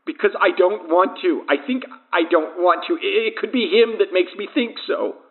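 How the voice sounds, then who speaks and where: A man's voice: high voice, normal speed. An actor playing a doomed, guilt-ridden man enslaved by an evil power, confessing fatalistically in an audio horror drama. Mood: anguished, desperate. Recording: tinny, band-limited phone line.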